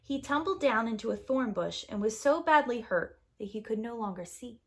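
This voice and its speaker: A woman storyteller reading scripted fairy-tale narration to young children with expressive, dramatic phrasing. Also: fairly high pitch, moderate pace. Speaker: storyteller, preschool teacher.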